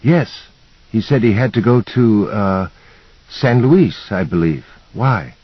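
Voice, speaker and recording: A man's voice: lowish voice, lively intonation, takes it slowly, slightly rough, no echo, highs cut off, voice pushed up, heavily acted. A man voicing a shy, courteous male professor with a Scandinavian accent in an audiobook, polite, formal and hesitant. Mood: earnest.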